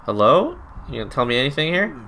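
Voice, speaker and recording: A man's voice: mid-range voice, sing-song, quick pace, vocal fry, sharp tone, a little hiss or hum. A man giving casual, emotional let's play commentary, gushing as he reacts to the game. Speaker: gaming YouTuber.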